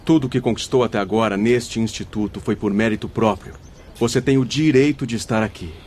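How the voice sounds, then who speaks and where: Man acting low, consoling Brazilian spirit friend. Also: a little fast, a little mellow, a little hiss or hum, lightly acted. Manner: consoling, earnest, formal diction. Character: compassionate, steady male spirit friend offering comfort. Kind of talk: radio drama acting.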